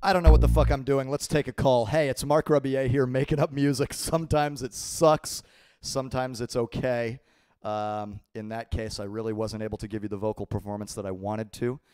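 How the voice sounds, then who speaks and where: Guy in his 30s with a worn-out hoarse voice, swearing and laughing on a home livestream, dry close handheld mic. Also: mid-range voice, fairly even intonation, normal speed, darkish tone, no room sound. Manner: loose, profane, laughing. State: losing his voice. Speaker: livestream host. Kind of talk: casual live call-in chat. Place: small home studio room. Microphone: handheld microphone.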